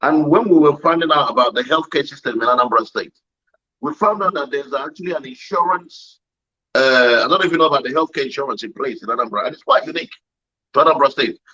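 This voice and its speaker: A man asking interview questions in a quick, chatty way. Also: mid-range voice, pushed and bright, slightly rough, lo-fi sound.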